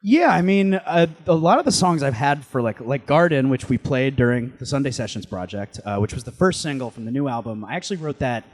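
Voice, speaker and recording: A man chatting casually as an interviewee, loose and halting as he thinks aloud. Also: medium pitch, expressive. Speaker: band guitarist and singer, interviewee.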